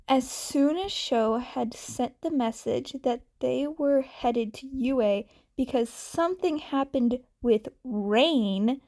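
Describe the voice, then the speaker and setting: A woman's voice: sits high, warm tone. A woman narrating a scripted story as a fan fiction reader.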